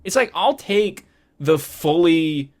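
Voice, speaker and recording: A man's voice: on the high side, expressive, takes it slowly, a little mellow. Hesitant, meandering man in casual chat. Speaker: podcast co-host. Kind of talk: casual film discussion chat.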